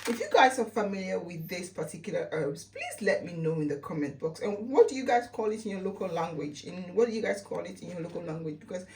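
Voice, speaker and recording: A woman with a Sierra Leonean accent talks in a fairly low voice, a little away from the microphone. She chats casually to her viewers like a lifestyle vlogger, often repeating words to stress a point. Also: big pitch swings, quick pace, hardly any pauses, a little mellow, far from the mic. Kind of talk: casual chatty home-remedy vlog.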